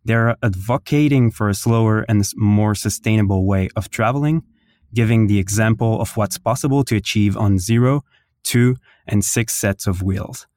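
A man's voice: on the low side, moderate pace, a little mellow, dry recording, clean studio sound. A man co-hosting a casual podcast and introducing a guest, with lots of fillers and frequent laughs. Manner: laughs often, many fillers. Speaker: podcast co-host and interviewer.

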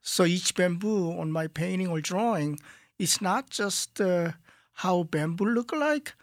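Slow-spoken Korean-accented man. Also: high voice, expressive, no room sound, studio quality. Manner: halting, searching for words. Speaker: guest artist. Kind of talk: calm interview talk. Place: radio studio.

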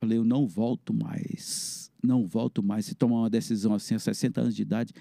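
A man's voice: on the low side, little pitch movement, creaky, mellow, no echo, studio quality. A man, a guest in an interview, talking plainly and telling his own story at length. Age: 60s. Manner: plain-spoken, evasive, drawn-out storytelling. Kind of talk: casual interview talk.

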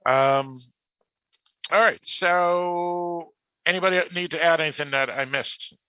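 A man with a Boston accent hosting a podcast in casual talk, halting and correcting himself as he reads out messages. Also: a little high, dry recording, dull audio. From United States, Boston area. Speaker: podcast host.

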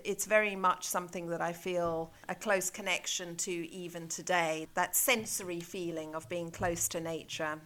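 A middle-aged South African woman speaks in a bright, forward voice pitched a little low. She sounds like a school leader being interviewed, reflective and conversational. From South Africa, born in Zambia. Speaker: interview guest, head of a junior school.

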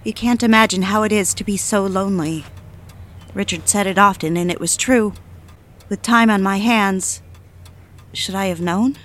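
A woman's voice: medium pitch, normal speed, long pauses, fairly bright tone, natural voice. A woman playing a lonely, obsessive diarist in an audio drama, with a confessional, literary way of speaking. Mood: lonely, brooding. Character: lonely, bored, obsessive woman confiding to her diary. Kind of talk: dramatic first-person diary narration.

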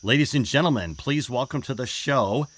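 A man hosting an interview show, introducing his guest in an upbeat, energetic way and often restating his questions. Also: medium pitch, moderate pace. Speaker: podcast host.

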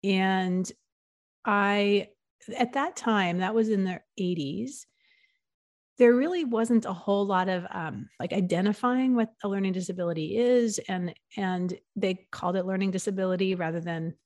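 A woman's voice: medium pitch, moderate pace, darkish tone, dry recording, studio quality. A woman from the US East Coast in her forties, a podcast guest talking earnestly and upbeat with frequent restarts. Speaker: guest, nonprofit consultant. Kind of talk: podcast interview.

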